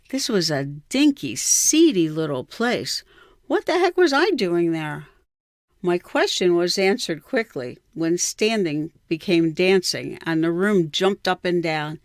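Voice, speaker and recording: An older woman reading her own personal story aloud in an expressive, measured storytelling style. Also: fairly low pitch, no echo, clean studio sound. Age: 60s or older. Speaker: writer reading own memoir. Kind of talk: scripted personal narration, storytelling.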